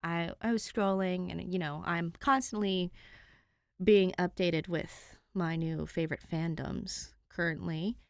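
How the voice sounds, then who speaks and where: A woman reading fan fiction aloud and chatting to listeners in an expressive way. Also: on the low side, measured pace, vocal fry, dry recording, clean studio sound. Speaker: fan fiction podfic reader. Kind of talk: story narration and casual chat to listeners.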